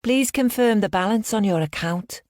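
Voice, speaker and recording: A woman's voice: mid-range voice, moderate pace, darkish tone, no echo, clean studio sound, pitched above the performer's own voice, lightly acted. A woman reading an audiobook, voicing a female scam caller who poses as a bank worker in a put-on Liverpool accent, formal and overly polite. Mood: smooth, insistent.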